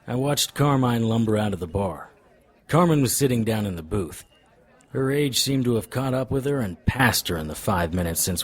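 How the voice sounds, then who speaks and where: A man's voice: deep voice, slightly rough. A man playing a wry, world-weary paranormal investigator in an audio drama, narrating and talking with dry wisecracks. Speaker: lead character and narrator.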